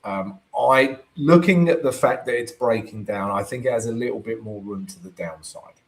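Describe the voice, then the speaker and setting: A man with a croaky voice hosting a live trading stream, chatting through his charts and answering viewer comments, often checking in with "right?". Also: mid-range voice, normal speed, poor audio. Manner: chatty, keeps asking "right?" to the audience.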